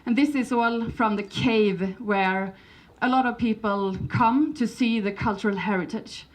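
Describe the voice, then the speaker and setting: A woman's voice: fairly high pitch, a little slow, poor audio. A woman from Sweden with a Swedish accent, giving a conference presentation in English, hesitant, with many fillers and restarts, and a light humour. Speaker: conference speaker.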